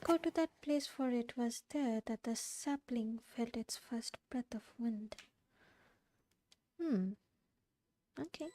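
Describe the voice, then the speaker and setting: High-voiced Indian woman streaming. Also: slow, pauses often, noisy background. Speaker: game streamer. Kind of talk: casual live-stream reactions. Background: game music.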